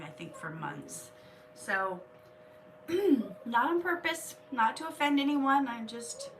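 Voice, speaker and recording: Slow-talking woman with a cold. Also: mid-range voice, sharp tone, distant mic, a little hiss or hum, rough recording. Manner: chatty, reads viewer comments aloud. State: has a cold, coughing. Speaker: live stream host. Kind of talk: casual live stream chat with viewers.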